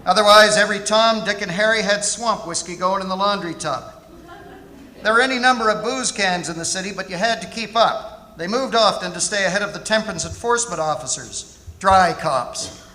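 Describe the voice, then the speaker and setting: High, bright-voiced detective narrator played by a man. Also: normal speed, slight background noise. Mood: storytelling. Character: hard-drinking war veteran private detective narrating his case. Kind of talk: scripted first-person radio play narration. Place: live stage in a theatre. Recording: echoey theatre hall, music behind.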